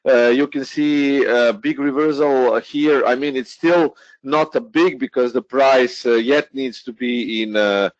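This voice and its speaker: A man's voice: medium pitch, steady tone, a little slow, no room sound. Hesitant male trader walking through charts, lo-fi dry webinar sound. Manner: hesitant, many "uh" fillers, walks through a chart. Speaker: day trader. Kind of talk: explaining trading charts. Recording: dull lo-fi webinar audio, dry.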